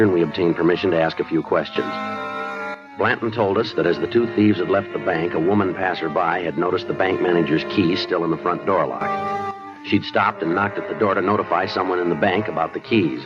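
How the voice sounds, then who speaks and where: An actor playing a terse, matter-of-fact police detective in a 1950s radio crime drama. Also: male voice, low-pitched, natural voice. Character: clipped, businesslike male police detective narrating his case. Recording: muffled 1950s radio recording with music.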